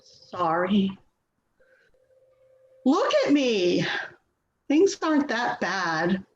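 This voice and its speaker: A woman's voice: medium pitch, very animated, very slow, dull audio, a little hiss or hum. A woman playing an anxious, hurt grown daughter in a dramatic play reading. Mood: pleading.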